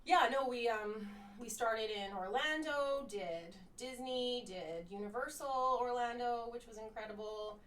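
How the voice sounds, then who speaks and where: A woman's voice: a little high, unhurried, few pauses, a bit bright. A woman who grew up speaking Croatian at home, chatting casually as a guest in an upbeat way with lots of fillers. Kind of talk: casual chat. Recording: roomy, distant mic with faint noise.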